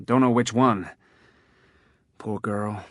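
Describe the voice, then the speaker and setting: A man's voice: fairly low pitch, slow pace, fairly bright tone, dry recording, clean studio sound. An actor playing a kindly old male groundskeeper and loyal family servant in a fantasy video game, folksy and familiar. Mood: gentle, informative.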